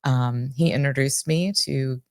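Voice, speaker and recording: A woman in her forties from Michigan, a nonprofit director, telling her life story in a relaxed, friendly way as an interview guest. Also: a bass voice, a little slow, no room sound, studio quality. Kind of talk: casual interview.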